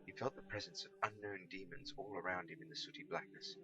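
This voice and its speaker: A man reading a storybook aloud as an expressive narrator. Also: low voice, fast, vocal fry, poor audio. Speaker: audiobook reader.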